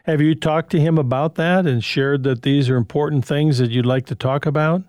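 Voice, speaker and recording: A man's voice: a little high, fairly even intonation, moderate pace, a little mellow, no room sound, studio quality. A man hosting a call-in advice show on the radio, talking in a loose, conversational way and chuckling often. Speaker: radio host.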